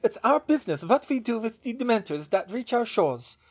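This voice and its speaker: A stern older witch, as a male narrator puts her on, speaking high and sharply. She is an unyielding official in a fantasy storybook. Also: very animated, moderate pace, slightly rough, full character voice.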